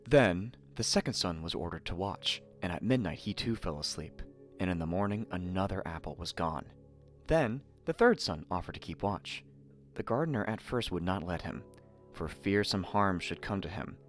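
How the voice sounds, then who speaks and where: A man narrating a scripted fairy tale in a theatrical, expressive manner. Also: low-pitched, normal speed. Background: faint music.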